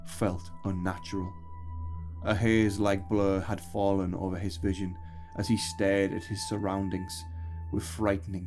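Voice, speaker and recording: Male narrator, dark fantasy audio drama: low, flat intonation, grave measured storytelling over music.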